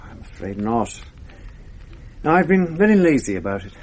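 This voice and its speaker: A man's voice: mid-range voice, sing-song, normal speed. An actor playing a polite, reserved young Englishman visiting his old teacher in a 1980s radio drama. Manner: polite, reserved, quietly evasive.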